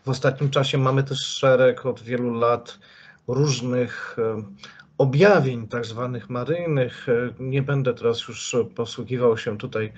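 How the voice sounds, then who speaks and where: Slow, deliberate middle-aged male interviewer. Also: mid-range voice, fairly even intonation. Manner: slow, deliberate, with frequent fillers. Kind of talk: measured interview commentary. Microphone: video call webcam. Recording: dull lo-fi video call audio.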